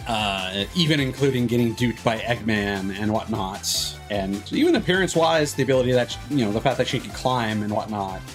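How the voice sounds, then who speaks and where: A man giving casual, rambling gameplay commentary as a streamer, hesitant and drifting into tangents. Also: on the low side. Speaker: video game streamer. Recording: music behind the voice.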